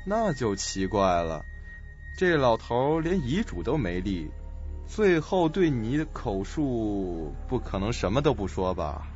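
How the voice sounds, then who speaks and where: A man's voice: fairly low pitch, very animated, unhurried, darkish tone, highs cut off. A man playing a scheming, calculating doctor with a sly manner in a suspense radio play. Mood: suspicious. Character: scheming, smug, suspicious male doctor. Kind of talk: audio suspense drama acting. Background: music.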